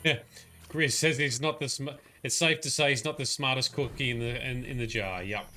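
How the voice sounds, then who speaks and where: A man's voice: mid-range voice, normal speed, pushed and bright, faint music behind. A man streaming a video game, chatting loosely with viewers, often correcting himself and chuckling. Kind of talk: live gameplay commentary.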